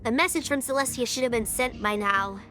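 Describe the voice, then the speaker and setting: A woman's voice: a little high, brisk, sharp tone. A woman voicing an earnest, cautious young female pony in a dramatised fan fiction reading. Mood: serious, cautious. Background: music.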